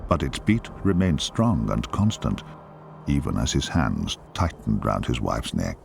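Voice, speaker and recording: An actor playing a grave, ominous storyteller, narrating a dark fantasy game in a solemn, portentous and theatrical manner. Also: male voice, a bass voice, big pitch swings, measured pace, gravelly, a little hiss or hum, exaggerated voice. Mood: dark, grim. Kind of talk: dramatic scripted fantasy narration.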